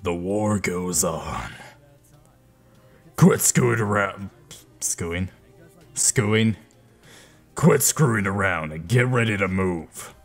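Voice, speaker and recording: A young man voicing grim, gruff male game soldiers and assassins with a growling, menacing delivery. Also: low voice, slow, long pauses, faint music behind, heavily acted.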